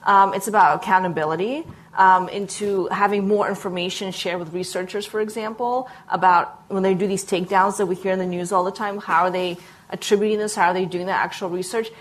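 A woman's voice: medium pitch, steady tone, brisk. Articulate woman answering on a panel. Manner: articulate and engaged, building long structured arguments. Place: conference panel before an audience.

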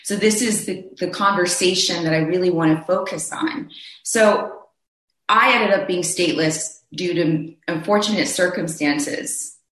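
A man's voice: high-pitched, measured pace, fairly bright tone, echoey room, rough recording. A man speaking earnestly on a panel discussion, telling his own story, often restarting his phrases. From Zambia.

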